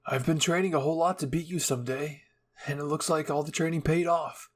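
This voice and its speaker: A man's voice: a little high, fairly even intonation, fairly quick, lightly acted. A man voicing a playful, teasing young man who is earnest and easily flustered, in a fan fiction reading.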